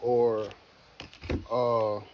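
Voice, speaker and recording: A man's voice: medium pitch, little pitch movement, extremely slow, dull audio, a little hiss or hum. A man in his early thirties talking casually to camera, rambling and repeating himself, then giving an earnest motivational pep talk. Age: 30s. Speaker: homestead vlogger.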